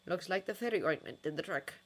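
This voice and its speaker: A woman's voice: on the low side, quick pace, natural voice. A woman reading as an elderly, formal wizard healer. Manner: formal and hesitant.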